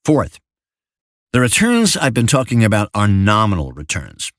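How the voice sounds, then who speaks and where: An older man reading a financial memo as scripted narration in a measured, formal manner. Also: lowish voice, wildly swooping pitch, no echo, studio quality.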